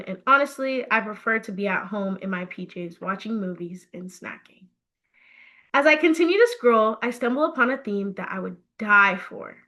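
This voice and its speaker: Bright, high-voiced woman narrating a story. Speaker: author reading her own book. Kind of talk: expressive scripted storybook narration.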